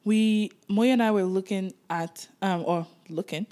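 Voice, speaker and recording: A woman's voice: medium pitch, measured pace, warm tone. A Nigerian woman in her twenties, co-hosting a podcast in casual, reflective chat and laughing easily. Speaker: podcast co-host.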